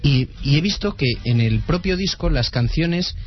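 A man's voice: fairly high pitch, animated, moderate pace, breathy edge. A man asking a long question in a radio interview, hesitant with many fillers. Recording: muffled radio with faint music.

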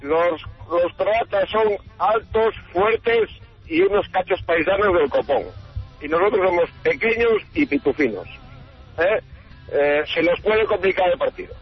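A man's voice: high voice, very animated, a little slow, breathy edge. A man with an accent from Spain calling a radio show, giving his opinion in a jokey, colloquial way. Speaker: radio phone-in caller. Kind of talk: casual opinion on a call-in show. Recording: muffled call over radio, music behind.

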